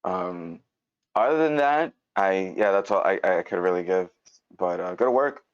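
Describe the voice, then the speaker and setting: Low-voiced man, muffled call audio. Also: animated, measured pace, smooth, no echo. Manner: hesitant, searching for words, repeating himself. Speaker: participant giving script feedback. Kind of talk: informal spoken critique. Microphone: online meeting mic.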